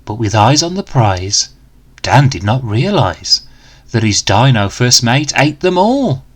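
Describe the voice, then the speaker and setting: Slow, animated male children's storyteller. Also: medium pitch, breathy edge. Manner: expressive, dramatic, deliberate. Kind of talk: rhyming picture-book read-aloud.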